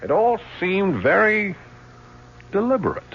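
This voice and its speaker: An actor playing a relentless police lieutenant in a radio drama, probing and insinuating with control. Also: male voice, high voice, sing-song, a little slow, a bit bright, slight background noise, exaggerated voice. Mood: pressing, skeptical. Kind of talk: 1970s radio drama acting. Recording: muffled, humming old radio broadcast.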